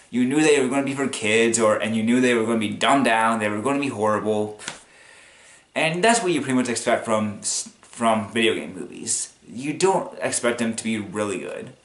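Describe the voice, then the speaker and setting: A man giving casual, unscripted commentary in a rambling, halting way, as the host of an opinion video. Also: medium pitch. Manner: rambling, halting, chuckles as he talks. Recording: echoey, roomy sound.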